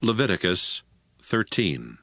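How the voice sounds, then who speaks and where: A man's voice: low-pitched, big pitch swings, slow pace, bright, forceful tone, no room sound, studio quality. A man narrating scripture from a script, as the narrator of a dramatized reading.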